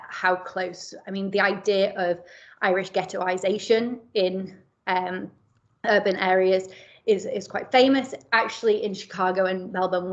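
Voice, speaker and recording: A woman academic presenting a seminar paper in a measured way, often pausing with fillers and correcting herself mid-word. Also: mid-range voice, muffled recording. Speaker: academic speaker.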